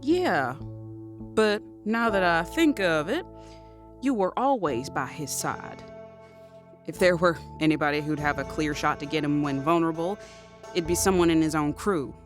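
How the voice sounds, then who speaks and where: A woman playing a steely widowed outlaw fresh from prison in a scripted audio drama, deliberate and probing. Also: low voice, animated. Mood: accusing, probing. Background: music.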